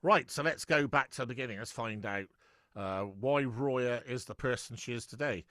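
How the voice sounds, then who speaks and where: A man's voice: medium pitch, moderate pace, a bit bright, no echo, studio quality. A middle-aged man hosting an interview, chatty and rambling with frequent stumbles and restarts. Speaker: host. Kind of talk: casual interview chat.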